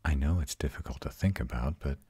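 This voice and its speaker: A man playing a proud but tender vampire lover in an intimate comfort roleplay, speaking in a soothing, reassuring way with a breathy, husky voice. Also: very deep voice, a little fast, mellow, dry recording. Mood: gentle, solemn. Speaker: voice actor in a roleplay audio.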